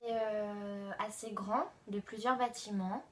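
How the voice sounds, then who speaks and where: A young woman answering interview questions calmly and softly. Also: medium pitch, slow. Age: young adult. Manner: calm, soft-spoken.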